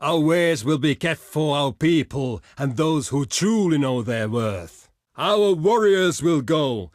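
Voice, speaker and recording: An actor voicing a proud, gruff barbarian warrior envoy in a video game, blunt and boastful, declaiming in archaic, formal phrases. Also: male voice, fairly high pitch, animated, slow pace, no echo, clean studio sound. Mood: grudging, dismissive. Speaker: diplomat character in a strategy game. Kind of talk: theatrical video game voice lines.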